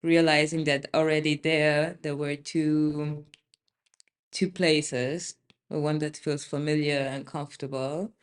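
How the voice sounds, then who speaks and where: A woman's voice: deep voice, level tone, takes it slowly. A woman from Austria, a podcast guest, talking in a thoughtful way with many ums as she searches for words. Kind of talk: casual interview conversation.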